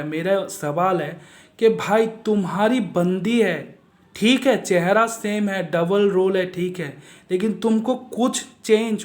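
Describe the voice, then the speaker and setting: A man's voice: sits high, roomy sound. A man giving a casual spoken film review, blunt and mocking, repeating himself for emphasis.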